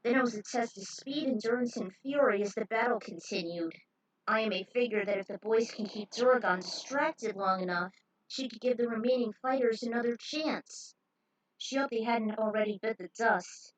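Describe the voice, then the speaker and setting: A woman's voice: a little high, normal speed, poor audio. A woman narrating action fan fiction aloud as a dramatic story reading.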